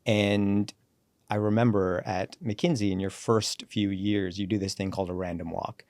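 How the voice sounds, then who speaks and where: A man in his forties with a fairly low voice tells his career story as a podcast guest. He moves briskly through the story and acts out the lines he quotes. The recording is close and dry. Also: steady tone, darkish tone, no room sound. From born in Sri Lanka, raised in Canada. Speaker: guest, company CEO. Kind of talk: relaxed podcast interview, storytelling.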